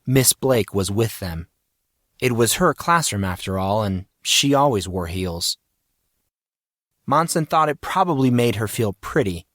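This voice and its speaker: A man's voice: lowish voice, expressive, measured pace, fairly bright tone, no room sound. Man narrating a story, dry sound. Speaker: audiobook narrator. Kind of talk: scripted dramatic story narration.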